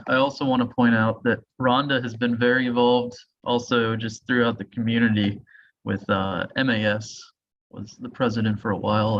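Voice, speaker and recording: Male webinar host, medium pitch, informal and a little halting, muffled call sound. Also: slight background noise. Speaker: host and moderator. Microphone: video call. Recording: dull, muffled video-call audio.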